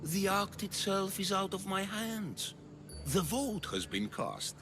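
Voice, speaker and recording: Smug ancient athens politician villain, slow n grand, music under him. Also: male voice, high voice, very animated, heavily acted. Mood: triumphant, gloating. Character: scheming, power-hungry male politician in ancient Athens. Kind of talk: cinematic video game acting.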